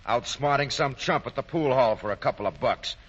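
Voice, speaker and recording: Man playing a forceful, stubborn young mechanic. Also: medium pitch, a little fast, slight background noise, close to an everyday voice. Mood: irritated, stubborn. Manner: blunt, slangy, earnest. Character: stubborn, hardworking young mechanic, grown son. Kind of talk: 1940s radio comedy acting. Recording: muffled old 1940 radio broadcast.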